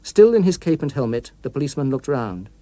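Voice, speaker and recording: A man's voice: medium pitch, big pitch swings, a little fast, mellow, dry recording. A man with a British accent narrating a scripted story as a dramatic audiobook reader.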